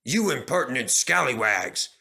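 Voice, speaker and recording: Man playing a wise old male steam engine, stern, old-fashioned, bright forceful edge, storybook character. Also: medium pitch, very animated, normal speed, gravelly, heavily acted. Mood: indignant.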